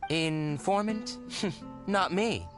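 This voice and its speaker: A female underworld informant in an anime game. Her voice is low yet lively in pitch, coy and coolly knowing. Faint music plays behind. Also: very slow. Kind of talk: anime video game dialogue.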